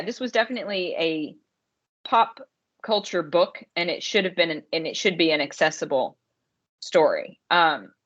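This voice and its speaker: A woman podcast co-host with a slightly low voice, chatting casually as in a movie review. She speaks emphatically, doubling up words for stress. The recording is a little dull and lo-fi. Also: a bit bright. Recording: dull lo-fi audio.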